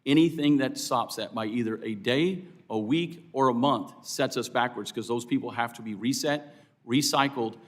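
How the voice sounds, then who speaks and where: An American man with a mid-pitched voice answers as an expert witness at a formal hearing. He explains in an earnest, emphatic way, speaking off the cuff. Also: moderate pace. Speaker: air traffic controllers' union official testifying as witness. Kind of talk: spontaneous formal testimony. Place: congressional committee hearing room.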